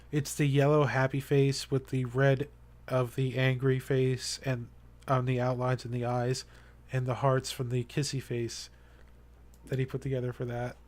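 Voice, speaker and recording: A man's voice: medium pitch, darkish tone. Rambling, earnest young male streamer. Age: young adult. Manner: rambling and earnest, many fillers and restarts. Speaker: live streamer. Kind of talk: casual live-stream chat to viewers.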